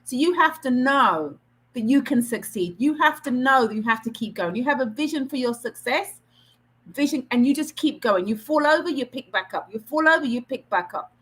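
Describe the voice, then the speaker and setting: A woman's voice: high-pitched, animated, normal speed, fairly bright tone, poor audio. Trinidadian woman giving earnest career advice. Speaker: career coach presenter. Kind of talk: online Q&A session.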